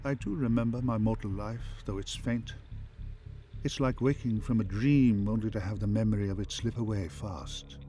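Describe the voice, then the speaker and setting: A man playing a calm, wise, once-human artificial intelligence for a game, speaking in measured, formal phrases. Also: lowish voice, a little hiss or hum, close to an everyday voice. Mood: wistful. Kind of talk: scripted game companion voice acting.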